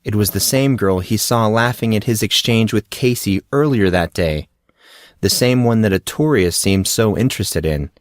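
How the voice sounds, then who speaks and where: A man's voice: on the low side, normal speed, no echo, studio quality. A man reading scripted fiction as an audiobook narrator.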